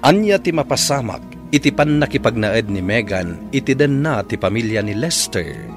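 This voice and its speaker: A man delivering scripted narration as a radio drama announcer, theatrical and measured. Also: medium pitch, sing-song, faint music behind. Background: musical score.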